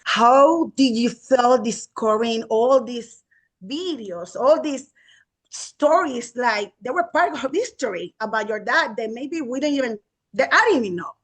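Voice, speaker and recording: A woman's voice: fairly high pitch, animated, measured pace, low-quality recording. A woman from Puerto Rico with a Puerto Rican accent, interviewing guests with long, effusive questions.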